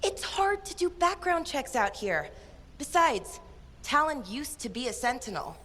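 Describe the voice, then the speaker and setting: An actress playing an earnest, headstrong young adventurer in a video game, urgent and pleading in manner. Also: female voice, sits high, very animated, a little slow, a bit bright, full character voice. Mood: indignant. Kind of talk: video game character dialogue.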